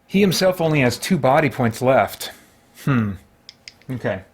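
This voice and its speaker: A man's voice: medium pitch, animated, measured pace. Casual man thinking aloud over a game. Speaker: host playing a solo tabletop game. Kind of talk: casual game play-through commentary.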